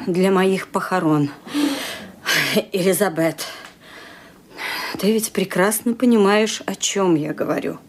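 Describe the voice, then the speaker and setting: An actress playing a frail, elderly English lady with a bad heart, stern and fearful, who drops into a husky whisper. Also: medium pitch, slow, pauses often. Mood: brusque. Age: older. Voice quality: husky, often sinks to a hushed whisper. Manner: brooding, imperious with her maid. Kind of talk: 1970s-era radio play acting.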